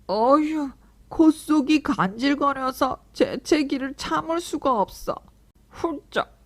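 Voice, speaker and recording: A woman's voice: on the high side, a bit bright, voice pushed up. A woman voicing a fussy, sniffly talking nose in a children's picture book. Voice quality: sniffling, sneezy.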